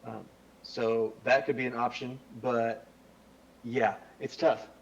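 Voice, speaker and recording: A man chatting as a guest, enthusiastic and relaxed, with slangy speech. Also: medium pitch, slow pace, creaky, lo-fi sound. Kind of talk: casual chat.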